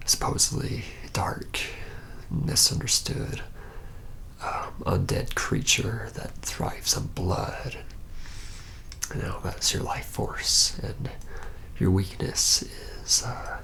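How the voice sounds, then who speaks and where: A man playing a reluctant, jaded young male vampire in a whispered ASMR roleplay, speaking haltingly with a wry, deadpan manner. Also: lowish voice, full character voice. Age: young adult. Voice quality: breathy whisper with gravelly creak.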